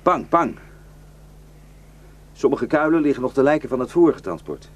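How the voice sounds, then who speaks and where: A man's voice: medium pitch, expressive, moderate pace, natural voice. An actor in a radio drama playing a weary, bitter, well-travelled man who grimly warns of atrocities. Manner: grim, cursing, rambling storyteller. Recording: noisy radio play recording.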